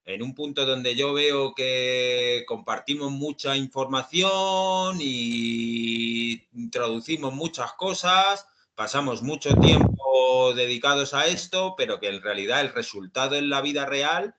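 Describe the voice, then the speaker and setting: A man's voice: medium pitch, expressive, slow pace, pushed and bright. A man talking as a podcast guest, hesitant and full of fillers as he explains his views. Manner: hesitant, many fillers and restarts. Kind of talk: casual podcast conversation.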